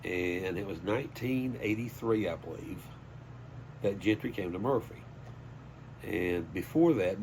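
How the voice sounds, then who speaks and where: Older Southern man, low folksy voice. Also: darkish tone, slight background noise, poor audio. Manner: folksy, anecdotal. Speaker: high school sports PA announcer. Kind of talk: casual interview storytelling.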